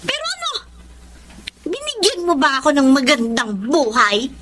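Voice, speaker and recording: An actress with a Filipino accent playing a battered young wife, tearful and pleading yet defiant, in a radio drama. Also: female voice, high voice, huge pitch swings, normal speed, noisy background. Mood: hurt, defiant. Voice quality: tearful, plaintive.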